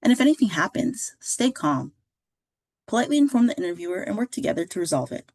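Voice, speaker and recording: A woman reading a scripted instructional narration as a career services presenter, in a clear, measured, instructive way. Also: lowish voice, very animated, darkish tone, dry recording, studio quality.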